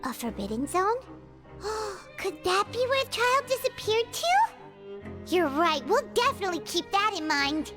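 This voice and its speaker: A woman voicing a tiny, chatty, childlike fairy companion in a video game, excitable and exclamatory. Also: a little slow, full character voice. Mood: startled, earnest. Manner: squeaky, exclamatory, refers to self in third person. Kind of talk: video game character acting. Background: game music.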